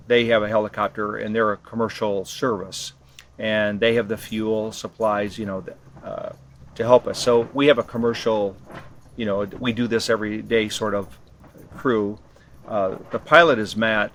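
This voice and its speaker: Low-voiced man chatting over clear background noise. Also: a bit bright. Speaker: interviewee, ham radio expedition team member. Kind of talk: casual interview account. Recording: clearly noisy location sound.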